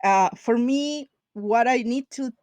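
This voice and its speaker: A woman's voice: on the high side, takes it slowly, darkish tone, no echo. Chilean woman, spanish accent, dry close sound, chatting on a panel, laughs a lot, restarts her sentences. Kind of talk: casual panel discussion.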